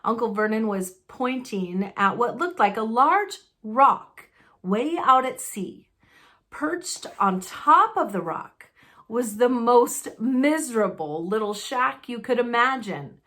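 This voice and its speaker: A woman narrating a children's novel aloud, expressively, with deliberate and dramatic phrasing. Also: fairly high pitch, animated, bright, forceful tone. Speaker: storybook narrator.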